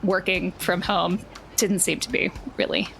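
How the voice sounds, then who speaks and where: A woman's voice: fairly low pitch, unhurried, creaky, fairly bright tone, faint music behind. A woman narrating a tabletop game as its game master in a conversational, folksy way.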